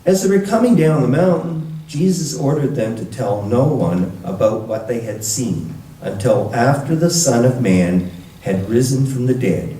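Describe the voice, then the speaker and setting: A man reading scripture to a church congregation in a measured, deliberate way, recorded with a roomy echo from a distant mic. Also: medium pitch, dark tone. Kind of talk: scripture reading with brief introduction.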